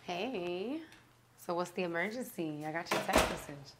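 A woman's voice: on the low side, moderate pace, very noisy, natural voice. A woman playing a flirty, scheming woman with a coy, teasing way of talking, in a comedy skit. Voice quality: coy, relaxed, teasing delivery. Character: flirty, scheming woman who stirs up trouble.

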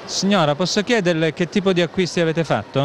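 A middle-aged man asks questions as a street interviewer. His voice sits a little high and moves quickly. He holds a handheld mic in an indoor shopping mall, with some noise behind him. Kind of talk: vox pop interviewing. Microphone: handheld reporter microphone. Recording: busy public space, background noise.

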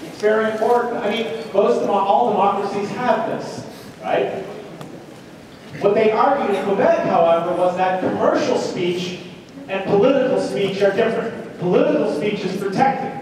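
A man's voice: high voice, normal speed. Male university lecturer, conversational and questioning, recorded from far off with background noise. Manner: animated, rhetorical questions and check-ins. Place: lecture hall. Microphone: distant room mic. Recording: roomy echoing lecture hall, distant mic, noisy.

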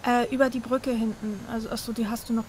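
Young woman gamer, higher voice, thinking aloud. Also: little pitch movement, fast, a little mellow, a little hiss or hum, poor audio. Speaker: let's player. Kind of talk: casual co-op gaming chat.

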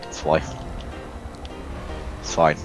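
A man chatting casually while playing an online racing game, in short muttered asides. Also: extremely slow. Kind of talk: casual chat during online gaming. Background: faint music and engine noise.

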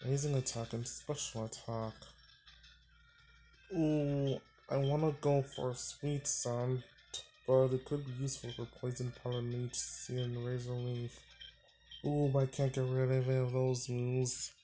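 A man's voice: medium pitch, level tone, measured pace, warm tone. A man giving casual let's-play commentary, thinking aloud, muttering and sighing as he plays. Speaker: gaming channel host. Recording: faint game music behind.

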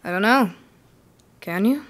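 A sweet, unsure college girl of the sixties, acted by a young woman for an audio drama. Her pitch is average. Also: lively intonation, unhurried, a bit bright. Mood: fragile. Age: young adult. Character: sweet, self-doubting young 1960s female student. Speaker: student actor.

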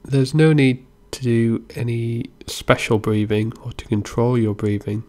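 A man gently guiding a meditation as an instructor, in an unhurried way with pauses between instructions. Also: medium pitch, fairly even intonation. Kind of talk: guided body scan meditation narration.

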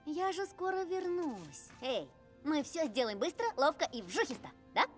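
A high, very lively voice of a young gnome girl in a cartoon. She is spirited, confident and eager to prove herself. Music plays underneath. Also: normal speed. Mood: upbeat, confident. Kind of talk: animated film dubbing.

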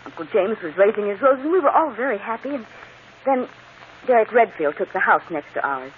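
A woman's voice: mid-range voice, expressive, fairly quick, a little hiss or hum, lightly acted. Woman acting frightened young lady, tinny old radio. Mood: troubled, hesitant. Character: frightened, fretful young woman pleading for protection.

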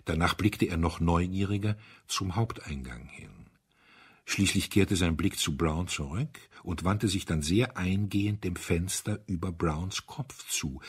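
A male narrator reads literary prose in a measured storytelling manner. His voice is fairly low and strongly husky, with wide, expressive swings of pitch. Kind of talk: scripted literary audiobook narration.

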